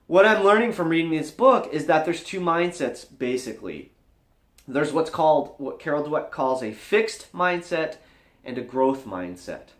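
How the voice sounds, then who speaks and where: A man with a fairly high voice speaks in an earnest, confessional way, as if levelling with his viewers. His pitch moves around a lot as he stresses his points, and the room adds noticeable echo. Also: very animated, moderate pace. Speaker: vlog host. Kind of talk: candid motivational vlog talk to camera.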